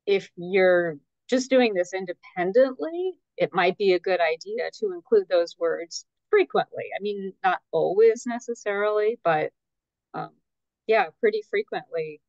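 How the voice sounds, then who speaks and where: Measured woman on dull, dry audio. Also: medium pitch, expressive, darkish tone, dry recording. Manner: measured, tentative, qualifies her points. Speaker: guest expert, editor. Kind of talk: conversational interview answers.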